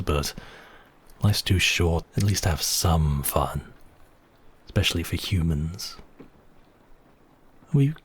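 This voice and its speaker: Vampire count played by a man for ASMR roleplay: very low, unhurried, seductive and possessive, breathy, teasing. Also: heavily acted. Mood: possessive, coaxing. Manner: slow, teasing, intimate, with whispered phrases. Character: seductive, possessive male vampire count toying with his captive.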